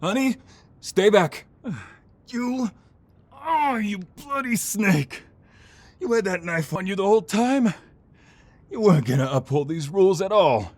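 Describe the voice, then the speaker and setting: An actor playing a defiant, protective ex-mobster in an audio drama, dramatic and halting, full of determination. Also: male voice, high-pitched, lively intonation, no room sound. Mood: furious. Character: defiant, protective male ex-mobster fighting for his love. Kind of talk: audio roleplay drama acting.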